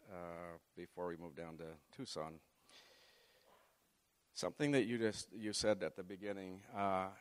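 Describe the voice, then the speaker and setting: A middle-aged man raised in Chile giving a folksy, faith-based talk to a student audience. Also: sits low. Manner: folksy, leads the audience through an exercise. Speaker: guest speaker. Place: large hall. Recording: long hall reverb.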